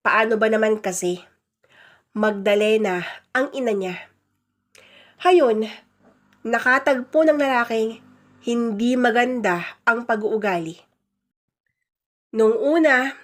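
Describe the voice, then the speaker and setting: A woman voicing a cocky married man in a radio-style drama, talking casually and boastfully with his buddies. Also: on the high side.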